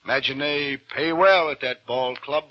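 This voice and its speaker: An actor playing a folksy, wily small-town baseball manager in an old radio drama, husky and colloquial, with American speech. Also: male voice, mid-range voice, moderate pace, natural voice. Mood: gently generous. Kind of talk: old-time American radio acting, first-person narration. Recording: dull vintage radio broadcast.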